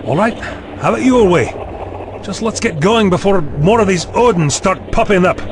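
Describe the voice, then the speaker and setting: A man's voice: sits high, lively intonation, normal speed, noisy background. A man playing a sarcastic young official. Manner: dry, sarcastic. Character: sardonic young male official, skeptical. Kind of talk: audio drama acting.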